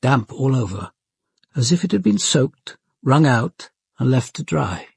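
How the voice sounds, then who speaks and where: An actor playing an observant police detective, narrating a murder investigation in a grave, deliberate and precise way for a radio crime drama. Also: male voice, mid-range voice, big pitch swings, gravelly, darkish tone, husky, dry recording, clean studio sound, heavily acted. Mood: grave, methodical.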